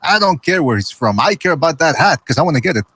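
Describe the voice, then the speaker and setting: A man's voice: on the high side, sing-song, fast talker, a crowd in the background. A man chatting casually on a podcast, curious and joking. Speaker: podcast co-host.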